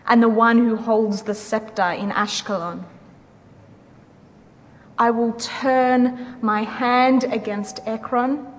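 A woman's voice: on the high side, a little hiss or hum. A woman giving a solemn, declamatory dramatic reading of scripture. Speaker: reader in a church dramatic reading. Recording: live hall recording with long reverb.

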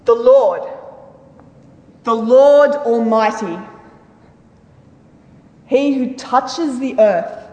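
A man playing a solemn prophet in a dramatic scripture reading, declaiming God's majesty deliberately. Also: very high voice, darkish tone, lo-fi sound, full character voice. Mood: solemn, awed. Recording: echoey hall with long reverb.

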